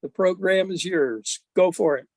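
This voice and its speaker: A man's voice: sits high, measured pace, creaky, a little mellow, dry recording. A man hosting an evening talk, introducing the guest in a rambling way with fillers, over a video call. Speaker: host introducing a speaker. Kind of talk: casual introduction.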